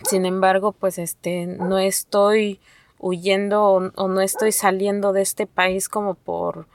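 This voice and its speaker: Woman from mexico, nahuatl native, halting speech, many restarts, dry close sound. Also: medium pitch, level tone, dry recording. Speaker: asylum seeker. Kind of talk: testimony to an interviewer.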